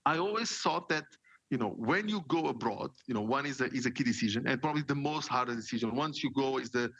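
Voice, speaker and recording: A man's voice: medium pitch, lively intonation, fast talker, fairly bright tone, breathy edge, no room sound, poor audio. A man in his forties from Portugal, a marketing executive, telling his story in a casual interview with lots of fillers and repeated words.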